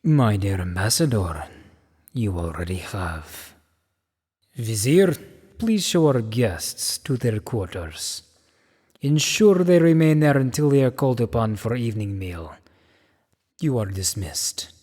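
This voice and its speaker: An imperious, deliberate king, played by a man in a fan-made radio play. His husky voice moves dramatically up and down with regal suspicion, ringing in a long hall reverb. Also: lowish voice, big pitch swings, darkish tone, exaggerated voice. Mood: cool, commanding.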